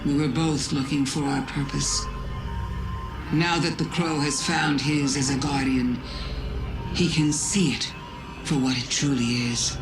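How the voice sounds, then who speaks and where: A woman playing a cunning alien witch queen who poses as a caring friend, silky and taunting in a game cutscene. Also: low voice. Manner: slow, silky, taunting, deliberate. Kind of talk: dramatic game cutscene acting.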